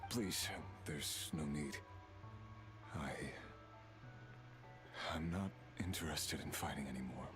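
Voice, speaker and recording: An actor playing a brooding, remorseful fallen villain in a video game, speaking slowly and solemnly with halting phrases. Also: male voice, a bass voice. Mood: weary. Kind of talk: dramatic video game dialogue. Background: music.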